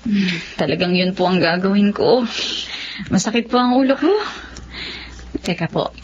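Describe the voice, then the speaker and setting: A woman's voice: mid-range voice, normal speed, darkish tone, natural voice. A woman with a Filipino accent playing a dutiful, sensitive young daughter in a Tagalog radio drama. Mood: calm, dutiful. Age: young adult. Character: dutiful young daughter, betrayed and heartbroken twin. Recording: dull, noisy radio drama audio.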